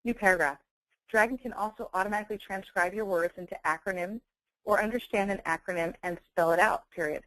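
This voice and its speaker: Woman presenting software, dry close sound. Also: medium pitch, fast talker, no room sound, poor audio. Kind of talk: webinar product demonstration with dictation. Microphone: close handheld speech mic.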